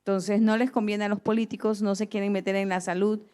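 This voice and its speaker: An Ecuadorian woman speaks up in a seminar discussion. Her voice is very clear and smooth, at a medium pitch, as she explains her point off the cuff. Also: little pitch movement, brisk, warm tone. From Guayaquil, Ecuador. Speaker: participant in a health seminar. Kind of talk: spontaneous comment in a class discussion.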